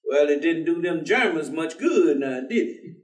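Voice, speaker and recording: Heard from a distance in a roomy theater, an actor plays a folksy, good-humored man of faith on stage. His voice sits high and he talks in an easy vernacular, teasing and questioning. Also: expressive, normal speed, natural voice. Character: folksy, devout, good-humored man gently challenging a gloomy professor. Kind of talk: staged play reading. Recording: echoey theater, distant microphone.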